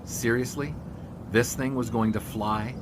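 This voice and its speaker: A man's voice: medium pitch, steady tone, a little slow, noisy background, close to an everyday voice. An actor playing a dry, slightly uneasy 1920s sportswriter who narrates his adventure in radio-drama style. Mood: doubtful, uneasy. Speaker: narrator and lead character.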